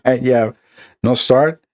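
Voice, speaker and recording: A man's voice: mid-range voice, extremely slow. A middle-aged man from Costa Rica with a Costa Rican Spanish accent, conversationally explaining an electronics repair as a technician, with many fillers and restarts.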